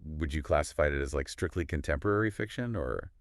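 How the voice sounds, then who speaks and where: Casual man podcasting, low-pitched and dry-sounding. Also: animated, fast talker, dry recording. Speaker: podcast co-host. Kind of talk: casual book discussion chat.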